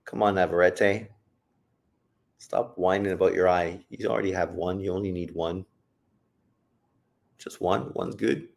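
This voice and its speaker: A man's voice: sits low, a little slow, gravelly, long pauses. Profane man calling a fight live. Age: adult, old enough to say he got old. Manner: profane, blunt and joking. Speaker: livestream host reacting to a boxing fight. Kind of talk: casual live commentary, reading chat.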